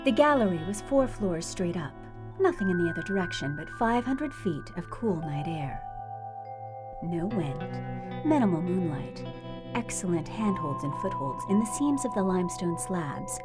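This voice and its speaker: A sly, glamorous burlesque star who moonlights as a cat burglar , played by a woman. Her delivery is arch and coolly wry, with animated, swinging intonation. It is noir radio drama, with music clearly behind the voice. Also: on the low side, moderate pace, natural voice. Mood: cool, suspenseful. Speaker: lead actress and narrator.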